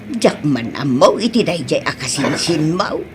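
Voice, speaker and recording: A woman's voice: deep voice, sing-song, unhurried. A middle-aged woman with an Ilocano accent, acting a plain-spoken, concerned provincial mother in a comedy drama. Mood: matter-of-fact. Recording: noticeable background noise.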